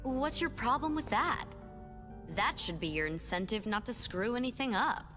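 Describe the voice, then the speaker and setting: A cruel young villainess from a video game, taunting with gleeful malice. Her high voice swoops through mocking, sing-song condescension. Music plays behind her. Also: sing-song, normal speed. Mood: scornful, scheming.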